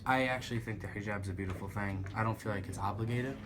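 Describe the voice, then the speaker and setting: A nineteen-year-old man answering interview questions in a relaxed, easygoing way, often saying "actually" and "like". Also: lowish voice, quick pace. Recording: crowd chatter behind.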